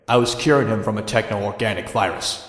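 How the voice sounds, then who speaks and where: A man's voice: lowish voice, fairly quick, a bit bright, roomy sound, in a large hall, natural voice. A man playing a wry, brash young antihero who narrates his own story in a motion comic, sardonic and conversational. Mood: wry narration. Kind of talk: first-person scripted narration in motion comic.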